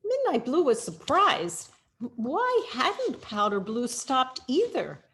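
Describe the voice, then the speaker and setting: Slow, expressive woman reading a children's story. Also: high-pitched. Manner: expressive, deliberate storytelling with dramatic pauses. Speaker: storyteller, rabbi. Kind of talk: children's picture-book read-aloud.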